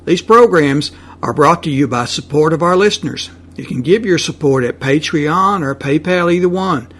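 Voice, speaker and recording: A man with a somewhat high voice makes a promotional announcement. He speaks as a plain announcer addressing listeners and asking for support. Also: moderate pace, fairly bright tone.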